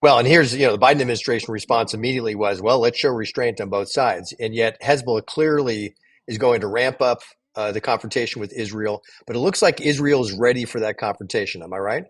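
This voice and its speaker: A man's voice: mid-range voice, fast talker, slightly rough. A man hosting a podcast, putting questions in a conversational political interview style. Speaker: podcast host.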